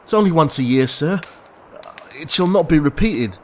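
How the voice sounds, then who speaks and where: A man's voice: on the high side, lively intonation, moderate pace, noisy background, natural voice. An actor playing a meek, apologetic clerk, a humble family man, in an audio drama. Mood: nervous, apologetic.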